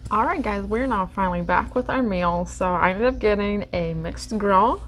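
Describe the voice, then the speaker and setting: A woman's voice: medium pitch, normal speed. A young woman hosting a food vlog, chatting casually and upbeat while she eats at a restaurant booth. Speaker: food vlogger host. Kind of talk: casual mukbang chat. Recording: restaurant with clear background noise.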